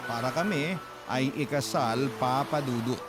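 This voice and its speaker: Filipino male narrator over loud music. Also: mid-range voice, a little slow. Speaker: narrator reading a letter. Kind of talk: dramatic scripted narration.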